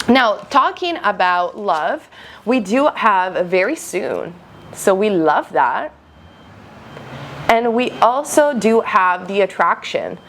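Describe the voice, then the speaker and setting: Female online tarot reader, medium pitch, conversational and emphatic, voice on the mend after losing it. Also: sing-song, slow pace, fairly bright tone, slight background noise. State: recovering from voice loss. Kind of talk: tarot card reading addressed to viewers, conversational.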